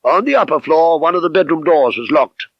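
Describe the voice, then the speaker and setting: A man reading a mystery novel as an audiobook narrator, with measured, precise, dramatic literary delivery. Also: on the high side, animated, no room sound. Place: dry studio. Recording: slightly dull 1970s audiobook recording.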